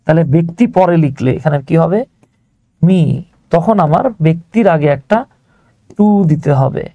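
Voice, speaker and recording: A man's voice: fairly high pitch, moderate pace, no room sound, dull audio. A man with a Bangladeshi accent teaching grammar informally, step by step, often putting questions to his learners as he explains. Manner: conversational, checks on learners with rhetorical questions.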